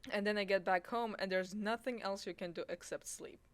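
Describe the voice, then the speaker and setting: A young woman from Serbia, a native Serbian speaker, chatting as a guest, lively and quick to complain and laugh. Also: mid-range voice, no room sound. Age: teens. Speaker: guest, exchange student. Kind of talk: casual chat.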